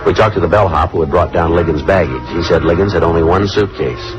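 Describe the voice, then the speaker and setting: An actor playing a terse, skeptical police detective in a 1950s radio drama, deadpan and clipped. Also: male voice, deep voice, fast talker, close to an everyday voice. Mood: matter-of-fact. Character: dry, skeptical male police detective, narrating and interrogating. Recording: dull old radio recording with music.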